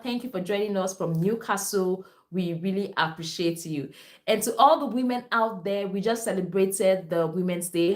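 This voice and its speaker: A Nigerian-accented woman whose first language is Esan, a nurse hosting a live stream, reading viewer comments and repeating herself as she talks straight to her audience. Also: mid-range voice. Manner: repetitive, addresses viewers directly.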